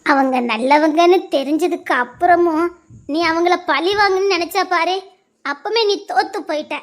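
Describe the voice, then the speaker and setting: A woman's voice: very high-pitched, normal speed. An actress playing a mother who scolds her daughter and preaches about bad company at length. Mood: scolding. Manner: scolding, moralizing, in long proverb-like sentences. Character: stern mother lecturing her grown daughter.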